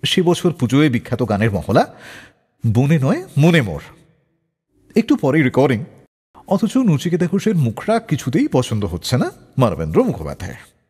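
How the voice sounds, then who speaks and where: A man's voice: mid-range voice, huge pitch swings, normal speed, pauses often, darkish tone, slightly rough. A man hosting a music radio show, narrating scripted stories in a dramatic storyteller's manner. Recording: long reverb, music behind the voice. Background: background music.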